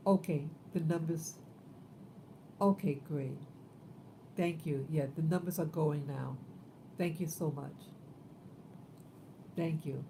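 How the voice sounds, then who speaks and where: A woman in her fifties hosting a casual livestream, talking in a rambling, halting way with many fillers. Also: low voice, vocal fry, mellow, slight background noise. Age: 50s. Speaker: livestream host.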